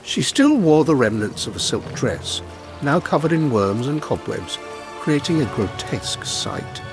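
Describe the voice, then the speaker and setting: A man tells a folk tale as a dramatic narrator. His delivery is expressive and theatrical, with lively, varied intonation over music. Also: mid-range voice, measured pace. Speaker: storyteller narrator.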